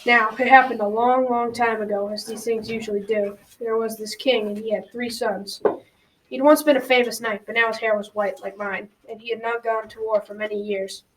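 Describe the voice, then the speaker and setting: A woman's voice: fairly high pitch, fairly even intonation, natural voice. A young girl playing a kindly, folksy old grandfather in a school radio drama. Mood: storytelling. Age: child or teen. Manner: folksy, measured advice-giving. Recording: slightly distant school recording.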